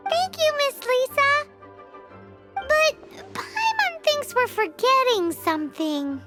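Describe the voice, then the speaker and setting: A woman's voice: big pitch swings, slow, pushed and bright, exaggerated voice. A woman voicing a tiny, chirpy and nosy fairy companion who talks about herself in the third person, in a video game with music behind. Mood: suspicious. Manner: high squeaky chatter, speaks of herself in third person.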